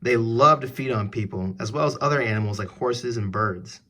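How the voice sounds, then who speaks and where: A man's voice: mid-range voice, normal speed, sharp tone. A man reading an educational text aloud for children as a teacher, putting questions directly to the listener.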